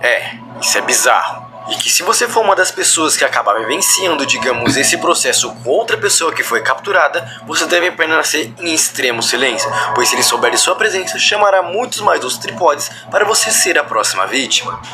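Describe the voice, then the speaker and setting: A man's voice: a little high, lively intonation, brisk. A man with a Brazilian accent giving explanatory commentary on a horror video in a wordy, roundabout way. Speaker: video host. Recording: loud background music under the voice. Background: music.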